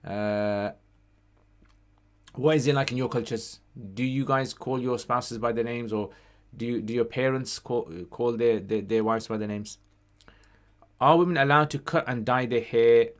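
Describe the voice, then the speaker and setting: A man's voice: medium pitch, a little slow. A man hosting a casual livestream Q&A, reading out questions and answering conversationally, with Arabic phrases sprinkled in.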